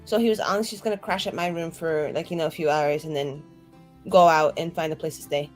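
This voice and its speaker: A woman's voice: low-pitched, fairly even intonation, quick pace, faint music behind. A woman playing a tabletop game, bantering and improvising in an informal, sweary way. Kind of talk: casual improvised banter and roleplay.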